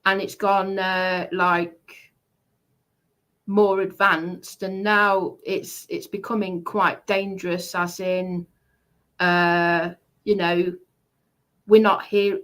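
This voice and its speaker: A woman talks casually at a slow pace, with a mid-range pitch. She is deliberate and halting, breaking thoughts into short pieces and repeating phrases for emphasis. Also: fairly bright tone. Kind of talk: casual commentary.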